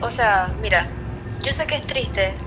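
A woman's voice: medium pitch, animated, measured pace, dull audio, background noise. A woman with a Panamanian Spanish accent in a casual phone conversation, speaking bluntly and asking pointed questions. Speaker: friend called on the phone.

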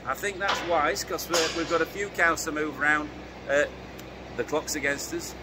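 A man's voice: on the high side, normal speed. A middle-aged man with a Yorkshire accent, speaking plainly and matter-of-factly with hesitant fillers, recorded outdoors in a farmyard. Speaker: farmer. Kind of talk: casual chat. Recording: outdoor noise, tractor nearby.

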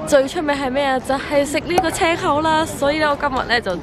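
A woman's voice: high voice, quick pace, crowd noise. A young woman with a Hong Kong Cantonese accent, chatting upbeat to a handheld camera while walking through an old town. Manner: chatty, upbeat, lots of filler particles. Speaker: travel vlogger. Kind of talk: casual travel vlog to camera. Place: outdoors in old town streets and squares. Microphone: camera held at arm's length.

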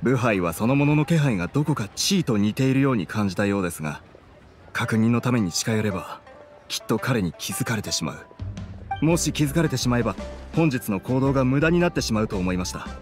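A man's voice: mid-range voice, lively intonation, brisk, natural voice. Game role: loyal subordinate agent male actor, middle register, formal and earnest, light music underneath. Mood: apologetic, reporting. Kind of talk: Japanese game voice acting.

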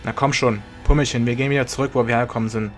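A man's voice: medium pitch, steady tone, very fast, faint music behind, close to an everyday voice. A man playing a callous henchman who taunts his captive in a flippant way, in a fantasy audio drama. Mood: taunting. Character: brisk, callous male henchman taunting a captured child. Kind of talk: German fan audio drama acting.

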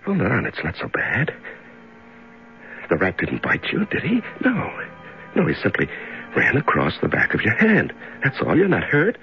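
Radio drama prisoner, fighting panic, gravelly murmured self-talk, dull old broadcast sound. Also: male voice, medium pitch, huge pitch swings, faint music behind. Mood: self-soothing. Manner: halting, murmuring self-talk, breathy outbursts. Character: frightened male prisoner in a dark room, fighting panic. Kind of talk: 1970s radio drama acting, soliloquy. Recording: dull 1970s radio recording.

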